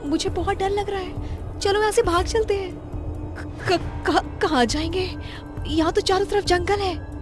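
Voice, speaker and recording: Woman playing a panicky young heroine: very high, pleading, tearful, background music. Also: steady tone, unhurried. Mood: terrified. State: crying. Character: frightened young woman trapped in a haunted forest.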